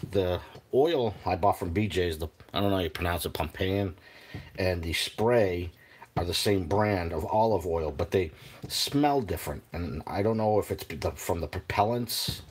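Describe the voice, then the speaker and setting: An older man with a New England accent, chatting casually while cooking on a livestream, rambling with lots of fillers. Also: low voice. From Boston area, New England. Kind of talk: casual live cooking chat.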